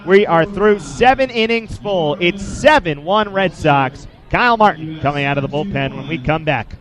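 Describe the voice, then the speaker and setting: A man in his twenties doing live baseball play-by-play for radio, in a measured, descriptive way with statistics between pitches. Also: lively intonation, a crowd in the background. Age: 20s. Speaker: play-by-play sportscaster.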